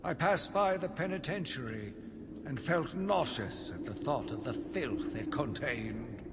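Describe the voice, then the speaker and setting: A slow, brooding, embittered asylum doctor. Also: male voice, medium pitch, expressive. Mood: menacing, resolute. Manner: solemn, measured, brooding, theatrical. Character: embittered male asylum doctor, grief-stricken, zealous, slowly turning vengeful. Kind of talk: dramatic scripted monologue, video game audio log. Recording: long reverberant echo, loud background noise.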